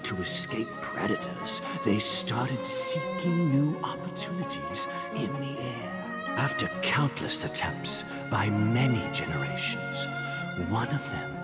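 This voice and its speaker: Old wise dad type in a game, gentle, slow and expressive, big music behind. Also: male voice, mid-range voice. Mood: storytelling. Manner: patient, measured, fatherly. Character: wise, gentle elderly father figure and storyteller. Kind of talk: video game voice acting. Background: loud background music.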